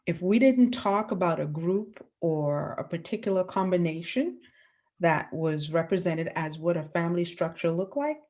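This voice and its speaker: A woman teacher from the Bahamas giving a lesson to children, asking the class questions and pausing for answers. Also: lowish voice, lively intonation, a little mellow.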